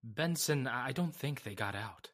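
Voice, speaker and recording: A man playing a shaken, earnest witness in old-time radio drama, speaking in a halting, dramatic way with stammered repetitions. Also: mid-range voice, animated, fairly bright tone. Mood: uneasy, awed. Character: shaken, earnest man recounting an uncanny fire, Mister Lamont.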